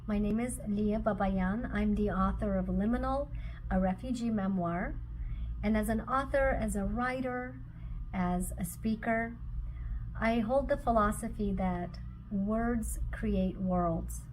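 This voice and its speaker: Russian-native woman speaker, mid-pitched and unhurried, reflective, faint background hiss. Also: darkish tone, low-quality recording. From former Soviet Union. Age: adult with children. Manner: measured, phrase by phrase with pauses. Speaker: author and speaker. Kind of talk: reflective personal talk.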